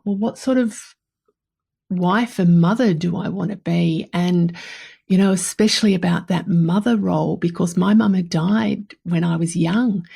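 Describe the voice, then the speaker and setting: Low, husky Australian woman in her fifties. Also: dark tone. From country New South Wales, Australia. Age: 50s. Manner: candid, chatty, emphatic repetition. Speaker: podcast host. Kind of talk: personal reflective monologue, casual.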